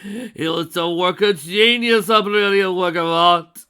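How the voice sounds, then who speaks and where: A man's voice: high-pitched, slow pace, sharp tone, smooth, voice pushed up, exaggerated voice. A man voicing a manic, bloodthirsty male alicorn villain who cackles and sneers. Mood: gleeful singing.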